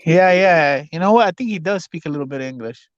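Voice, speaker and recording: A man chatting casually as a talk show panelist in hesitant, halting phrases, on dull lo-fi audio. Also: a little high, lively intonation, dry recording. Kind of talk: casual chat.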